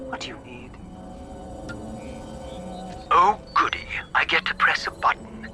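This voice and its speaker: Video game robot butler: snide, long-suffering, dry formal delivery, tenor-leaning, with clear background music. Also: male voice, a little high, unhurried, heavily acted. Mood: bored, mocking. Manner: deadpan, sarcastic, formal. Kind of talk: video game cutscene acting.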